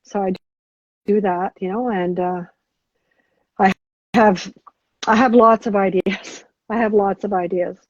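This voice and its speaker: Halting middle-aged woman, lo-fi sound. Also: mid-range voice, steady tone, dry recording. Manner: hesitant, many restarts and fillers. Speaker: guest. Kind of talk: podcast interview. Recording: dull lo-fi sound.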